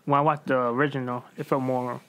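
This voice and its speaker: A man's voice: mid-range voice, level tone, brisk, a bit bright. A man co-hosting a casual chat, with blunt, informal phrasing.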